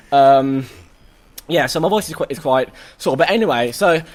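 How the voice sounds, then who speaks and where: A hoarse man hosting a casual review chat, excitable and full of stammering restarts. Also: a little high, a little slow. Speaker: host.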